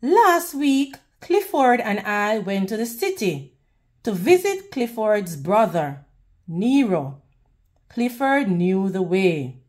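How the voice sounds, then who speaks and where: A woman reading a picture book aloud to children in a deliberate, teacherly way, pausing to put questions to them. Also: mid-range voice, very animated, a little mellow. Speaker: children's read-aloud host.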